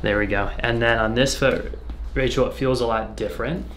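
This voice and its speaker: A man's voice: mid-range voice, fairly even intonation, normal speed. A man working as a chiropractor, explaining treatment to a patient in a reassuring, conversational way as he works. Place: indoor treatment room. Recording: clear background noise, treatment room ambience.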